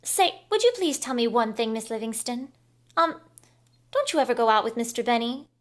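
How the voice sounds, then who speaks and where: A woman's voice: a little high, expressive, normal speed, lightly acted. A woman playing a curious young housemaid in a 1950s-style radio comedy, asking eager, naive questions. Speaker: radio play actor.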